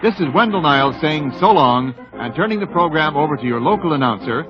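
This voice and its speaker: A male old-time radio announcer reads a scripted sign-off at a rapid pace. His slightly high voice sits over loud music on a dull recording. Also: expressive.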